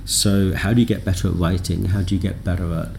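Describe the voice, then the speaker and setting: A man, a native English speaker, being interviewed as a software developer, talking thoughtfully with lots of ums and self-deprecating asides. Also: sits low, steady tone, fast talker, gravelly, a little hiss or hum. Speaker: podcast guest, software developer. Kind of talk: conversational interview.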